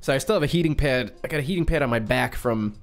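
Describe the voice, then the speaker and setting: A young man from Western New York hosting a casual live stream, a little raspy and congested from a cold, chatty and reading out the chat. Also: medium pitch, animated, fast talker. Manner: chatty, reads out chat with friendly asides.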